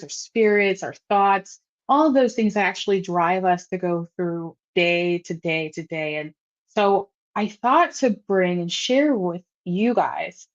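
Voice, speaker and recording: A woman's voice: medium pitch, highs cut off. A woman hosting an online talk, speaking in an earnest, encouraging way with casual fillers. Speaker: host.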